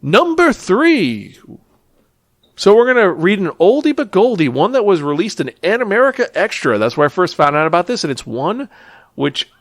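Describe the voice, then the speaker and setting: A man with a fairly high, noticeably creaky voice hosts a casual review show. He is enthusiastic and emphatic, often repeating words for stress as he talks straight to his listeners. The sound is dry, with no room echo. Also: sing-song, normal speed, fairly bright tone. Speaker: podcast host and manga reviewer.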